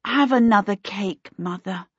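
A woman voicing an eager, earnest young heroine in a storybook, plain-spoken and quietly exasperated. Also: medium pitch, unhurried, husky, no echo, dull audio. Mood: patient, exasperated.

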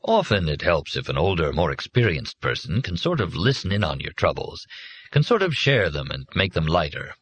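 A man putting on a fatherly robot counselor with a warm, sympathetic, gentle manner. His pitch wanders from deep to high. The recording is dry and close. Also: very animated, normal speed, breathy edge, no room sound, full character voice. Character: warm fatherly male teaching machine.

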